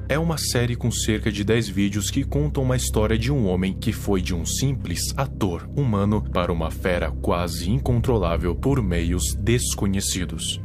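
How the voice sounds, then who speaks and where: A man narrating a horror story in a measured, drawn-out way. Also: lowish voice.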